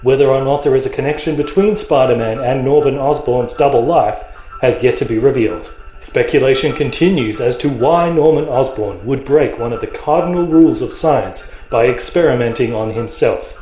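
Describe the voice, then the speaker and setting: A serious male reporter voiced by an actor reads a formal news narration. His tone is dark and soft-edged, his delivery measured. The sound is roomy and echoing, with quiet music underneath. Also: medium pitch, close to an everyday voice. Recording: roomy echo, faint music behind.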